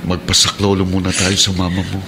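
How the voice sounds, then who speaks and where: A man's voice: low-pitched, completely flat delivery, fairly quick, background noise, close to an everyday voice. A man playing a troubled suspended policeman and steadfast husband in a radio drama, grave and earnest. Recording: radio drama over prominent music.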